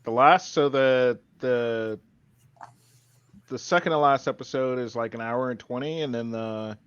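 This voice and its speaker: A man's voice: medium pitch, a little slow, a bit bright, clear, resonant tone, muffled recording. A man co-hosting a podcast, chatting casually. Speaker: podcast co-host. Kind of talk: casual chat.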